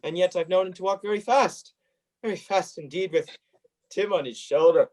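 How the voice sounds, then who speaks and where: A man's voice: high-pitched, normal speed, a little mellow, close to an everyday voice. A man playing a tender old grandfather in a radio play, fond and sorrowful. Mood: wistful.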